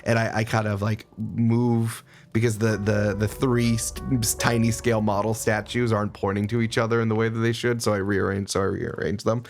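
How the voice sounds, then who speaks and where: A man's voice: lowish voice, little pitch movement, moderate pace, hardly any pauses, soft background music. A man playing a tabletop game, chatting casually and improvising what his character does.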